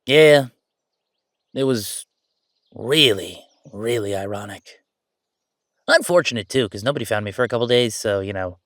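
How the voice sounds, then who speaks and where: A man's voice: on the low side, animated, normal speed, long pauses, fairly bright tone, no echo, clean studio sound. A man playing a chatty, easygoing ghost who died at thirty-five, rambling casually with wry asides in an audio roleplay. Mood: wry, resigned. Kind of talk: conversational audio roleplay monologue.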